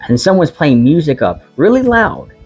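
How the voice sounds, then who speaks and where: A woman's voice: sits low, sing-song, moderate pace, a little mellow, slightly rough, soft background music. A young American woman talking frankly in a casual vlog, chatty and laughing often. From United States. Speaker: vlogger.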